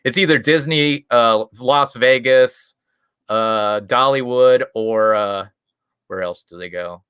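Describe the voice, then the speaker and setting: A man's voice: mid-range voice, takes it slowly, fairly bright tone, clear, resonant tone. A man with a Midwestern American accent, a guest on a live stream, telling rambling stories in casual chat with plenty of restarts. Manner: rambling, chatty storytelling with frequent restarts and chuckles. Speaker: guest YouTube creator.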